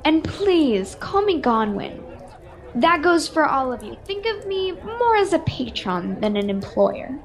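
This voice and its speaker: A mysterious adviser in a radio fantasy serial, acted by a woman, speaks in a high voice. She is courteous and measured, choosing formal words as a knowing patron would. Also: big pitch swings, full character voice. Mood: confident, inviting. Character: mysterious cloaked woman, well-spoken adviser and quest-giving patron. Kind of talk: radio-serial adventure acting. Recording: home video-call recording.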